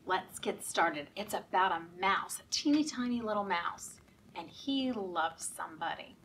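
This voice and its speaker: A woman reading picture books aloud at a children's storytime, animated and interactive, as if leading young listeners along. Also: fairly low pitch, a little slow, slight background noise. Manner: animated, interactive, asking children questions and prompting actions. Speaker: children's storytime reader at a library. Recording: voice at a distance from mic.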